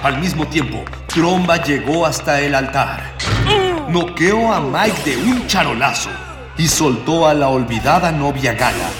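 A man's voice: a little high, expressive, measured pace. A man narrating a radio serial in a pompous, mock-epic manner. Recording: echoey long reverb over music.

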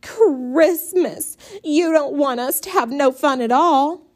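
A woman voicing a whiny, shrill young sister who complains loudly. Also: expressive, drawn-out words. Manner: whining, high and loud.

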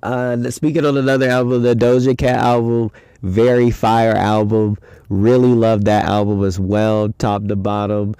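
A man's voice: fairly low pitch, level tone, normal speed, dark tone, clean tone, no room sound. A young man hosting a casual solo podcast, talking in a loose, rambling, slangy way with a stuffy nose from a head cold. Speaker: podcast host.

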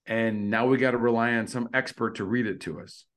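A man's voice: medium pitch, fairly even intonation, a little fast, fairly bright tone. A middle-aged man co-hosting a casual discussion, musing tentatively with lots of fillers. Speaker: podcast co-host.

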